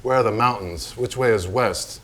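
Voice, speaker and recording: A man's voice: fairly low pitch, normal speed, fairly bright tone, lightly acted. A man on stage playing a self-destructive, hard-drinking, raging painter in a theatrical monologue, declaiming in short blunt phrases. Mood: lost, restless. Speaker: stage actor in a staged reading.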